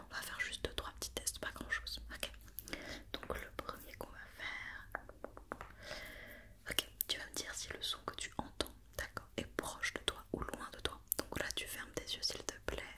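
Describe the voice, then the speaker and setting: A woman whispering an ASMR roleplay as a doctor giving an examination, with soft, reassuring and encouraging step-by-step instructions. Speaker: ASMR performer playing an occupational doctor examining a robot.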